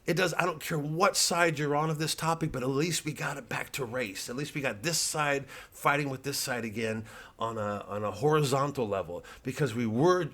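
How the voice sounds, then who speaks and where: A man, a comedian, giving a rambling, casual monologue to an online audience in a halting, digressive, self-deprecating way. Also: medium pitch, fairly bright tone.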